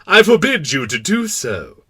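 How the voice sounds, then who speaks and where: A man voicing a proud, kindly but pompous king in a storybook, making imperious declarations with drawn-out words. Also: high-pitched, sing-song, pushed and bright, breathy edge, no echo, voice pushed up, full character voice. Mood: pompous, commanding. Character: proud, kindly, pompous absolute monarch of a tiny planet.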